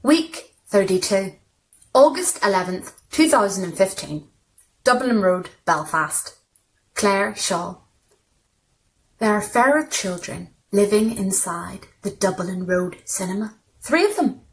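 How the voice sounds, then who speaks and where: A woman's voice: medium pitch, lively intonation, slow, slight background noise, rough recording. A woman reads literary short fiction aloud as a storyteller, in scripted narration. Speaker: story reader.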